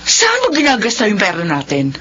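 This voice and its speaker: A woman's voice: medium pitch, wildly swooping pitch, a little fast, bright, forceful tone, slightly rough, heavily acted. A woman playing a worried, firm middle-aged wife and mother in a Filipino radio drama, with a Filipino accent and a reproachful, emphatic delivery. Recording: dull lo-fi radio sound, faint noise.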